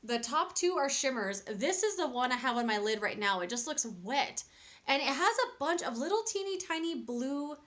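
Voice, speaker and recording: A fairly high-voiced woman in her thirties talks casually to a close camera in a small cluttered room at home. Her review is chatty and candid, full of fillers and restarts, and she rambles along as she speaks. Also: fairly bright tone. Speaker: beauty vlogger.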